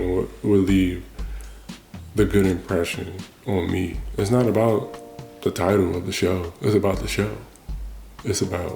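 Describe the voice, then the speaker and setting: A man's voice: low-pitched, vocal fry, darkish tone, roomy sound. A man giving a casual, reflective monologue in an informal, rambling way, repeating phrases for emphasis. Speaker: host of a personal talk show.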